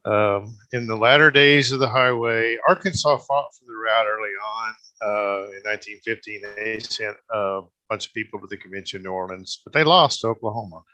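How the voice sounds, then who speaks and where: Man talk show guest, bright tense tone, dry sound, loose hesitant answers. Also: fairly low pitch, brisk, hardly any pauses, no echo, highs cut off. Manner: relaxed, rambling, hesitant with fillers. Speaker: guest, association president. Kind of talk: casual interview answers.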